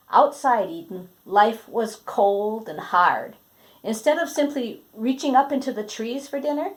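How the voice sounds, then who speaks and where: A woman reading a children's book aloud in a dramatic, emphatic storytelling manner with pauses. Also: mid-range voice. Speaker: storybook reader.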